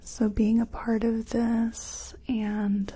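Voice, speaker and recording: Slow, breathy whispering woman. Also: a little high, level tone. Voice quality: breathy, mostly unvoiced whisper. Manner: gentle, hesitant, murmuring personal attention. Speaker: ASMR performer playing a makeup artist. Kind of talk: soft-spoken whispered ASMR roleplay.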